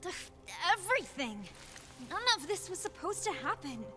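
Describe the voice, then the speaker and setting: Distressed young woman, high and bright. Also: big pitch swings, normal speed, soft background music, heavily acted. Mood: upset. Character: distressed, determined young woman in a horror game.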